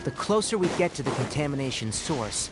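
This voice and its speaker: An actor playing a calm, methodical expedition leader in an anime video game, speaking in a measured, formal way. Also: male voice, mid-range voice, over loud music, natural voice. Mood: composed.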